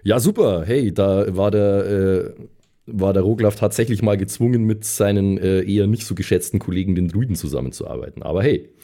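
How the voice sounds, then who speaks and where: A man co-hosts a podcast in loose, casual conversation. His voice is pitched clearly low, and he talks as one would among friends. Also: fairly quick, mellow. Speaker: podcast co-host. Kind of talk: casual chat.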